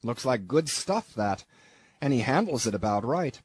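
A man voicing a gruff, blustering old sea captain who shouts his orders in old-fashioned seafaring speech. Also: mid-range voice, sing-song, moderate pace, no room sound.

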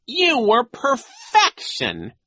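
A man voicing an insincere, flattering villain who poses as a gym coach in a storybook. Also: very high voice, drawn-out words, dry recording, pitched above the performer's own voice, full character voice. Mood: oily flattery.

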